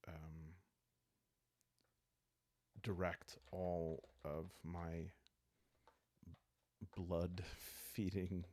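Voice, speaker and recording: A man calmly thinking aloud in an unhurried, casual way as he plays and narrates a solo roleplaying game. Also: low-pitched, vocal fry. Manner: calm, unhurried, musing, meant for relaxed listening. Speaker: host and solo player of a journaling roleplaying game.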